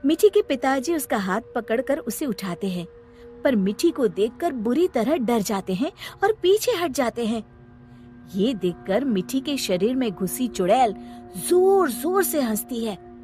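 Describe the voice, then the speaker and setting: Female storyteller, high pitch, animated range, expressive children's story narration, dramatic, scripted. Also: unhurried, faint music behind.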